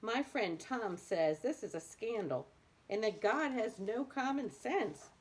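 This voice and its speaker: A woman's voice: a little high, lively intonation, a little slow, slightly rough, poor audio. A woman reading a book aloud expressively, with emphatic phrasing. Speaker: reader of a book aloud for adults.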